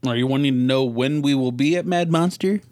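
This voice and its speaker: Male podcast co-host, average pitch, unscripted and informal, talking as if among friends. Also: a little fast, a little mellow. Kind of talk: casual chat.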